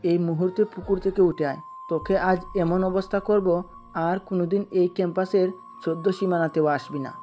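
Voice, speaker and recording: A man's voice: high voice, fairly even intonation, moderate pace, warm tone, faint music behind, close to an everyday voice. A man playing an aggressive masked henchman in an audio drama, bullying and menacing. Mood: aggressive challenge. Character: threatening masked male gangster henchman, aggressive.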